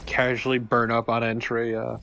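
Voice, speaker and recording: A man's voice: medium pitch, monotone, normal speed, vocal fry, bright, forceful tone. Dry, teasing male game co-commentator. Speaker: let's play co-commentator. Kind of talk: casual game commentary banter. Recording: faint background game noise.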